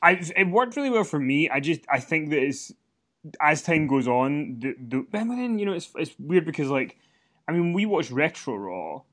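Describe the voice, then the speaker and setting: A man's voice: fairly high pitch, very animated, normal speed, fairly bright tone. A man co-hosting a wrestling podcast from a hotel room, chatting casually and rambling with many fillers and restarts. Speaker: podcast co-host. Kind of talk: casual wrestling recap chat.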